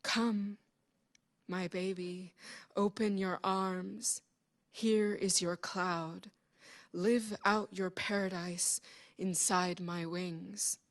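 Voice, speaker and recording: A woman giving a solemn, measured literary reading of poetry. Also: lowish voice, fairly even intonation. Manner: slow, solemn, measured delivery. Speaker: poetry reader. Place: public library event.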